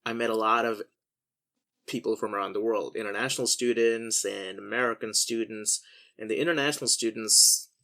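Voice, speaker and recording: A man's voice: on the low side, fairly even intonation, moderate pace, gravelly, bright, forceful tone. A man from India, co-hosting a podcast, telling stories in a wry, casual way and acting out other people's lines. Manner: wry, storytelling, acts out quoted voices. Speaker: podcast co-host. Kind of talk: casual chat.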